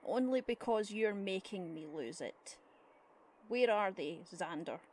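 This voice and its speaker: A woman's voice: mid-range voice, expressive, measured pace, a little mellow, a little hiss or hum, natural voice. A woman playing a shrewd old grandmother in an audio drama, dry and suspicious in her questioning. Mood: probing, dry. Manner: dry, probing, pointed.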